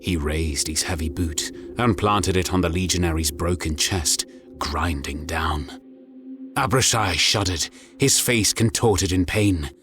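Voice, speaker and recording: A man narrating a scripted story in a grave, measured, dramatic manner. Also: deep voice, fairly bright tone. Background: music.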